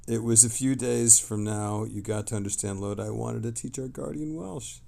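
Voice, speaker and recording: Low, gravelly man chatting on stream. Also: a little mellow. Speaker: livestream host reading chat messages. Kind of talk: casual livestream chat, reading messages aloud.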